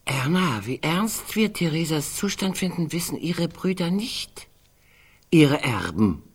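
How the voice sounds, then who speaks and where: An actress playing a sardonic, gloomy elderly woman in a German radio drama, husky and bitingly dry in her delivery. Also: low voice, sing-song, a little slow, full character voice. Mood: wry, gloomy. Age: older.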